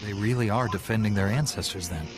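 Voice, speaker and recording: A man playing a calm, noble Jedi in a video game, with a composed and formal manner. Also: on the low side, fast talker, over music, natural voice. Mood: calm, grave. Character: calm noble male Jedi warrior, measured and compassionate.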